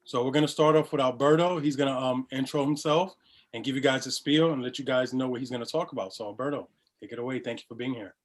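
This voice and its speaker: A man opens an online session as host, speaking casually with plenty of ums. His voice stays mid-pitched and easygoing. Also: quick pace. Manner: relaxed, upbeat, many fillers. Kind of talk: casual welcome and introductions.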